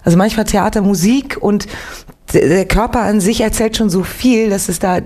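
A young Swiss woman, an actress and singer, chatting lively as a guest in a late-night radio interview, with a faint Swiss German accent. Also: mid-range voice, moderate pace. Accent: slight Swiss German. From Switzerland, Winterthur. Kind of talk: relaxed radio interview chat.

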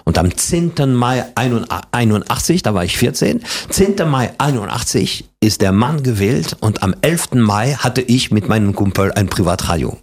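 A man in his fifties with a French accent, telling his life story as a guest in a relaxed, self-mocking chat. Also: mid-range voice, moderate pace, slightly rough. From France. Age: 50s. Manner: chatty, self-mocking, restarts often with fillers. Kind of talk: casual conversational storytelling.

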